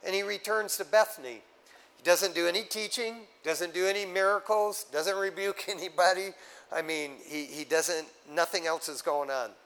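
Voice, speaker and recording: A man's voice: high-pitched, normal speed, poor audio. A man preaching a sermon, explaining scripture step by step and checking in with his listeners with casual asides. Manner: animated teaching with asides to the congregation. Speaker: pastor.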